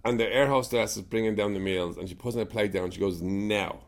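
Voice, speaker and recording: An Irish man in casual conversation, telling animated stories, swearing freely and laughing as he goes. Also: lowish voice, fairly quick. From Ireland. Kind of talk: casual chat.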